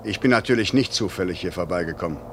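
An actor playing a stern, deliberate airline chief, paternal but official, in a 1950s German radio play. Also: male voice. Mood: measured, official. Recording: noisy old radio recording, vehicle rumble.

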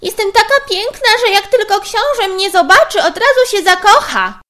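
A woman's voice: extremely high pitch, sing-song, normal speed, bright, forceful tone, voice pushed up, heavily acted. A woman voicing a vain, bossy young stepsister in a storybook tale, whiny and demanding. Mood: vain, boastful.

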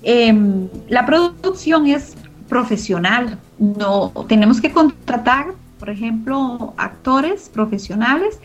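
A woman explaining her radio production work in an interview, searching for her words as she goes. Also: a little high. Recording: muffled sound with music underneath. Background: music.

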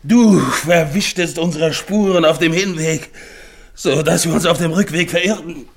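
A gravelly doomed polar explorer. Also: male voice, fairly high pitch, normal speed. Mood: fierce accusing. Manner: solemn, incantatory addresses to ice and storm. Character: doomed polar expedition leader, grim and haunted. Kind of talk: 1970s German radio play monologue.

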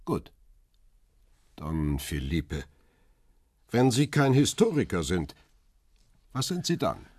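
A man playing a paparazzo who tells his own crime story in a radio drama, husky and measured in a literary way. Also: low-pitched, wildly swooping pitch, darkish tone, no room sound, studio quality, full character voice. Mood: probing. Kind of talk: radio drama first-person narration and dialogue.

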